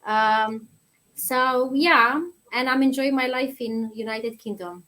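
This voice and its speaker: A woman's voice: on the high side, takes it slowly, pushed and bright. A woman in her thirties from Romania, a guest on an online show, talking in a friendly, chatty way with many fillers. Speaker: guest, nursery teacher. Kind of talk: interview answers.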